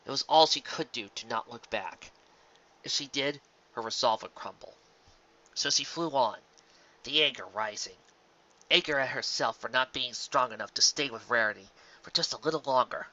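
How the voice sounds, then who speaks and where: A man reading a fan fiction story aloud as narrator, in an expressive storytelling style. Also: medium pitch, normal speed, muffled recording. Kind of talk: expressive story narration with occasional casual asides.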